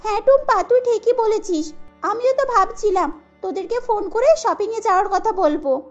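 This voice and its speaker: অভিনয়ে এক নারী উৎসাহী তরুণী বান্ধবীর চড়া গলা. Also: female voice, extremely high pitch, moderate pace, highs cut off, faint music behind, voice pushed up. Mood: eager. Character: excitable young woman friend eager to go shopping. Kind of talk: cartoon character voice.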